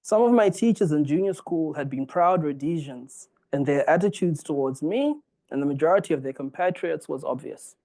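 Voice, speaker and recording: Earnest lecturer from Zimbabwe, fairly high voice. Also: male voice, lively intonation, moderate pace, mellow. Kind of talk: formal public lecture read from a text.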